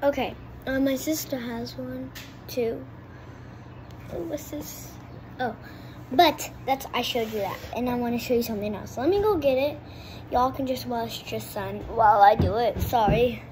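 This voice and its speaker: Chatty young girl, slow and high. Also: noisy background. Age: child. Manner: chatty, rambling, restarts often. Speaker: kid vlogger. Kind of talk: casual chat to camera. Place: home kitchen table by a window. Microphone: phone propped on the table.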